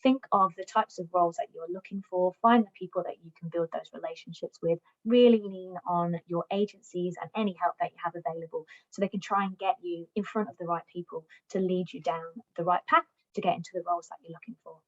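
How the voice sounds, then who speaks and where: A woman's voice: fairly low pitch, steady tone, fast, hardly any pauses. Woman coaching job seekers, dull lo-fi sound. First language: English, also Welsh. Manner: upbeat, encouraging, advisory. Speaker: recruitment platform customer success manager giving a job search talk. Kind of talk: presentation. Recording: dull lo-fi recording.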